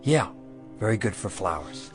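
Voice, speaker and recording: An American man acting in a radio drama as a kind, weary pawnshop owner who is deep in debt, soft-hearted and resigned. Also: sing-song, normal speed, soft background music. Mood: polite, weary.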